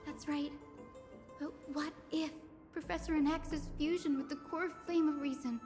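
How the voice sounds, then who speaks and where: A woman plays a young female student from a video game, with a high, earnest voice. She speaks expressively, in a long reverb with clear music playing behind her. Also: fairly even intonation, moderate pace, a little mellow, natural voice. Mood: worried, realizing.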